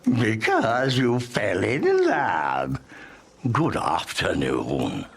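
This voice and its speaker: A man playing a bitter, miserly old businessman in a dramatized audiobook, curt, sneering and rough-voiced. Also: fairly low pitch, huge pitch swings, full character voice. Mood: angry, sarcastic. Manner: sneering, snapping, drawn-out sarcasm.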